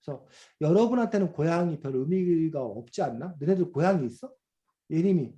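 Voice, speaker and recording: Middle-aged male teacher, slightly high but mellow, explanatory and patient, many fillers, video-call sound. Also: expressive, brisk. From South Korea. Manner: explanatory, many fillers, asks students questions. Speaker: reading teacher. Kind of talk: online class lecture to children.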